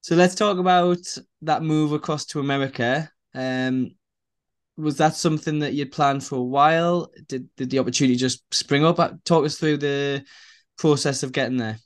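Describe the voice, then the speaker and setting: A man's voice: fairly high pitch, steady tone, normal speed. A man hosting an interview podcast over a video call, asking questions with frequent restarts. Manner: stumbling restarts, asks questions. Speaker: podcast host. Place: small room indoors.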